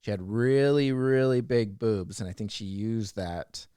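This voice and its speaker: A man's voice: lowish voice, measured pace, warm tone, clean tone, no echo, clean studio sound. A man co-hosting a casual chat show, bantering in a teasing, joking way. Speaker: podcast co-host and audio drama producer.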